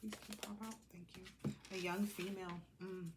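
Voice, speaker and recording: A woman's voice: mid-range voice, steady tone, moderate pace, heavy background noise. A woman giving a casual, improvised tarot reading, talking colloquially to herself and to the cards as she goes. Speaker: tarot reader hosting a collective reading.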